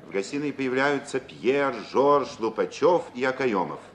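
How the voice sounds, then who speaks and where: A man narrating stage directions for an old radio play in a measured, literary storytelling manner. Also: medium pitch, expressive. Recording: old radio recording with faint hiss.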